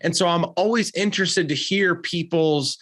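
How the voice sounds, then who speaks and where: A man's voice: fairly high pitch, moderate pace, a bit bright. Upbeat stuffy-nosed male podcast host. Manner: upbeat, chatty, many "uh" fillers. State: congested, slightly nasal from a cold. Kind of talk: casual interview talk.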